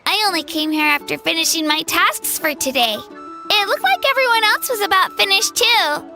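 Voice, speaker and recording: High-voiced, earnest young girl. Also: animated, moderate pace, pushed and bright, soft background music, full character voice. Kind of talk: animated fantasy game acting.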